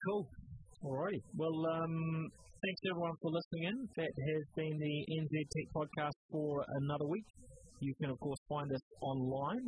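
A man's voice: a little high, darkish tone, slight background noise, rough recording. A man with a New Zealand accent hosting a casual tech podcast, talking with frequent ums and restarts. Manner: hesitant, many ums and restarts. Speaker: podcast host.

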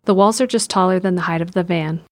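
A woman's voice: lowish voice, fast talker, a little hiss or hum. A woman reading scripted narration for an audio drama as its narrator. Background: music.

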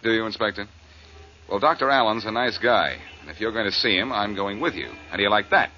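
Quick-witted cocky detective hero, American, bright and lively delivery, muffled noisy old radio recording. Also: male voice, fairly low pitch. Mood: needling, protective. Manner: wisecracking, self-assured. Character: breezy, cocky male amateur sleuth, adult, quick-witted. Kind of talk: 1940s American radio drama acting.

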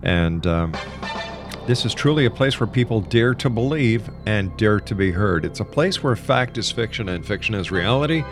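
A man's voice: fairly low pitch, expressive, normal speed, over music. A man hosting a talk radio interview show in a courteous, announcer-like manner. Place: broadcast studio.

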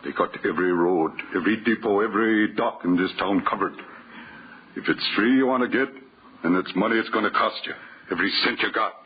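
Tough dockside crook, very low, raspy, slangy wheeler-dealer, dull 1940s radio recording. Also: male voice, brisk. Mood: shrewd, greedy. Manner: slangy, hard-boiled, wheedling. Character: tough, greedy waterfront crook fixer. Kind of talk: 1940s radio drama acting.

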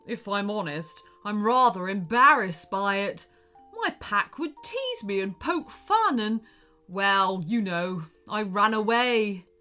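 A woman's voice: mid-range voice, big pitch swings, slow pace, bright, forceful tone, soft background music, full character voice. A woman voicing a large, friendly male wolf in a children's storybook, sheepish, easily worried and chattily apologetic.

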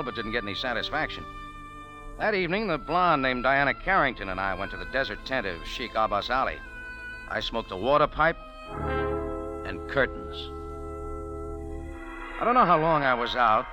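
An actor playing a tough café owner who narrates a 1940s radio drama in a laconic, hard-boiled way. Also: male voice, mid-range voice, close to an everyday voice, low-quality recording. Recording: old radio broadcast with music.

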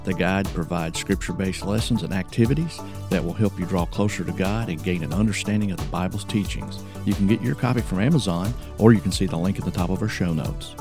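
A man's voice: low-pitched, fast, hardly any pauses, music in the background. A man hosting a podcast, reading sponsor messages in an upbeat promotional tone. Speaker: podcast host.

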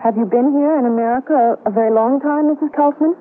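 A nervous, earnest young woman in her twenties, played by an actress, asking anxious questions in a slightly high voice. She is heard through a tinny radio broadcast with a faint hiss. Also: fast, lightly acted. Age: 20s. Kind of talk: old-time radio drama acting.

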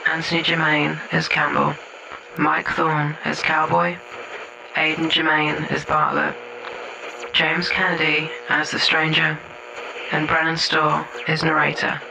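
A man reading the closing credits of an audio drama in a slow, even manner. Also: fairly high pitch, gravelly, noisy background. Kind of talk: read credits.

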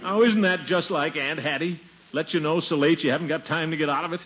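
A man's voice: a little high, a little fast, muffled recording, close to an everyday voice. Man playing a pompous, blustery radio uncle, noisy old recording. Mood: fussy, placating. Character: blustery middle-aged uncle, pompous and fussy family man. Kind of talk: 1940s radio comedy acting.

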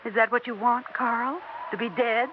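A woman's voice: fairly high pitch, steady tone, normal speed, lightly acted. An actress playing an anxious, clinging older wife who pleads with her husband, in a 1960s radio drama. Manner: pleading, emotional, insistent. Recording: dull old radio broadcast with faint hiss.

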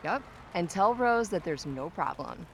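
A woman's voice: on the low side, lively intonation, measured pace, creaky, slight background noise. An actress playing a teasing, self-assured young woman, a protective friend, in an audio drama. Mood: teasing, reassuring. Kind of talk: audio drama acting, conversational.

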